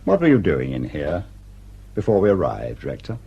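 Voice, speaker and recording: An actor playing a shrewd, sardonic police superintendent in a radio play, dry and probing in manner. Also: male voice, extremely low pitch, wildly swooping pitch, moderate pace, creaky, dark tone, breathy edge, lo-fi sound, heavily acted.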